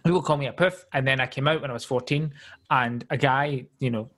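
A man's voice: mid-range voice, fairly quick, a bit bright. A man, telling stories in an easygoing, casual chat. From Glasgow, Scotland.

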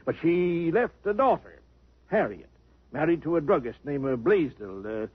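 A cantankerous, stingy old millionaire who grumbles and blusters, his voice husky and a little high. in a vintage radio drama, and the broadcast sound is dull and muffled. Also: sing-song, normal speed, no room sound. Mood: reminiscing. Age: older. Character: gruff elderly rich man, impatient, stingy. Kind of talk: 1950s radio drama acting.

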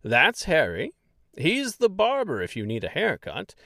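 A man voicing a plump, jovial male Munchkin mayor with a booming, hearty voice and an eager, gushing way of talking. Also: wildly swooping pitch, measured pace, gravelly, pushed and bright, dry recording, studio quality, full character voice. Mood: eager chatter.

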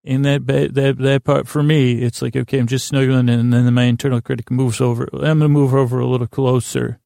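Male bedtime podcast host: gravelly and low-key, halting, self-deprecating, wandering delivery, close dry sound. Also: flat delivery, warm tone, no room sound. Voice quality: creaky. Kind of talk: rambling, soothing bedtime monologue.

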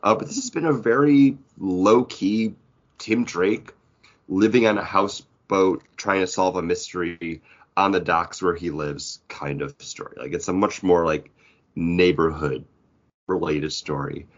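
A man's voice: deep voice, measured pace, muffled recording. A man co-hosting a podcast, talking in a casual, conversational style. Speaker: podcast co-host. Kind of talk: casual comic book chat.

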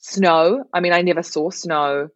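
Chatty New Zealand woman, low voice. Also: steady tone, unhurried, no echo, lo-fi sound. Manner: chatty, laughs often, many restarts. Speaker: guest, comedian. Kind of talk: casual podcast interview.

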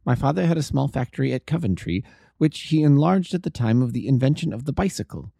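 A man reading the part of an anxious, refined young gentleman in his early twenties, earnest and polite, in an audiobook. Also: medium pitch, animated, fast, gravelly, slightly rough, dry recording, studio quality. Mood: earnest, explaining. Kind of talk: audiobook character dialogue.

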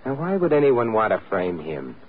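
An actor playing a suave, wisecracking, tough but gallant adventurer in a 1940s radio drama, with dry, wry banter. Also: male voice, mid-range voice, sing-song, normal speed, close to an everyday voice. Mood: dry, businesslike. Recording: muffled, narrow, noisy old radio recording.

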